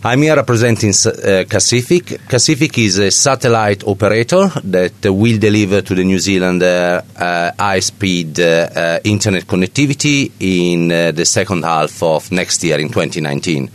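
A man's voice: sits low, moderate pace, hardly any pauses. A man from Italy with an Italian accent, a guest on a tech podcast, speaking hesitantly with many fillers. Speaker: sales director, guest. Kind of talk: casual interview talk.

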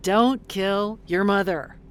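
A woman's voice: mid-range voice, slow pace, natural voice. An actress playing a blunt, weary middle-aged woman fresh out of prison in an audio drama, swearing in exasperation. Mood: fierce, weary.

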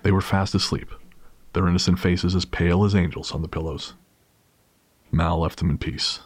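A man's voice: sits low, steady tone, normal speed. A man reading a dramatic scripted story as the narrator of an audio drama. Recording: clear background noise and ambience.

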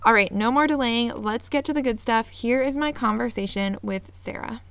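A woman's voice: on the high side, normal speed, fairly bright tone, clear, resonant tone, no echo. A woman hosting a podcast, earnest and upbeat, reading her intro and then chatting with a guest. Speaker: podcast host. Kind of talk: scripted intro then casual interview.

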